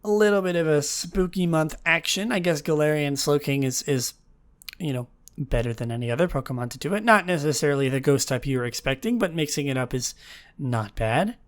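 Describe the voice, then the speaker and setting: Young man, podcast host, raspy, groggy, sick, rambling casual chat, slightly high voice. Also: expressive, a little fast. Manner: rambling, chatty, self-correcting. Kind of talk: casual chat about game news.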